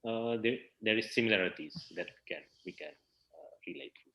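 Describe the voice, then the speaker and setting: A man with an Indonesian accent answering interview questions in a hesitant, halting way, searching for words. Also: fairly low pitch, sharp tone, muffled recording. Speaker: interview guest, theater festival director.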